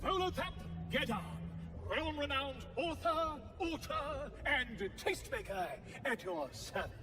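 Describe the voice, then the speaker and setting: An actor playing a pompous, theatrical, wheedling author in a video game, florid and grandiose. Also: male voice, extremely high pitch, animated, a little slow, big-room sound, recorded from a distance, over music.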